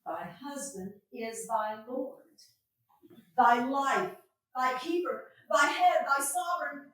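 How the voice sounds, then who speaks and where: A woman playing an earnest, admonishing wife, declaiming Shakespearean verse with measured emphasis in a staged reading. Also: high voice, a bit bright. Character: Katherina, tamed wife giving an earnest admonishing speech. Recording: very echoey room, far from the mic.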